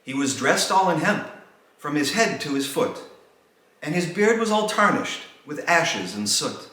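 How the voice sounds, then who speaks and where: Echoey, animated man reciting rhymed verse. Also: fairly high pitch, unhurried. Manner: dramatic, sing-song rhymed delivery. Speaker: storyteller reading his own poem. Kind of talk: theatrical rhymed holiday poem recital. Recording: very echoey, reverberant room sound.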